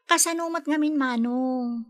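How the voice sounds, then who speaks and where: An actress playing a concerned, scolding younger sister in a radio drama, with an Ilocano Filipino accent. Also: female voice, sits high, unhurried. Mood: reproachful. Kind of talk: Philippine radio drama acting.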